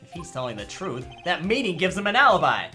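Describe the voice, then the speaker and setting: A woman's voice: lowish voice, very animated, fast talker, pushed and bright, faint music behind. A woman voicing a young male defense lawyer, sarcastic and exasperated, with dry wisecracking asides in an amateur video game fandub. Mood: questioning, sarcastic.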